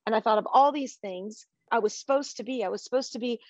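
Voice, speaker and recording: A middle-aged woman speaks as a guest in a faith-based podcast interview, with a passionate, preacher-like delivery. Her voice sits a little high. The sound is dry and close, with no room around it. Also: fast, no room sound. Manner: passionate, preacher-like, quotes scripture.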